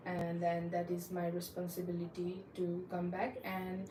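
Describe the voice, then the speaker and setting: A woman's voice: on the low side, monotone, measured pace, reverberant, far from the mic, background noise. A young woman from Nepal, answering mock visa interview questions hesitantly and politely, with many fillers. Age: young adult.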